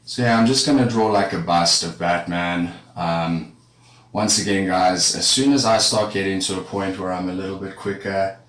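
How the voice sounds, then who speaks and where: A man casually talking to his live-stream viewers while he draws. He rambles in a relaxed way and sounds a little congested from a cold. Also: low-pitched, moderate pace. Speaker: art streamer. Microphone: streaming setup with a camera facing him. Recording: echoey room with faint background noise.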